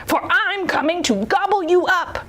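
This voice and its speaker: A woman's voice: extremely high pitch, expressive, pushed and bright, slight background noise, pitched above the performer's own voice, exaggerated voice. A woman voicing a mean, ugly, menacing male troll who roars in a children's storybook. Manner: roaring, menacing, drawn-out.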